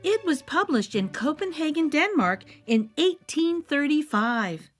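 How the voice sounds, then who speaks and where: Female storybook narrator: very animated and playful, unhurried, with music underneath. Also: sits high. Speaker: storybook read-aloud host. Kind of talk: expressive children's story narration.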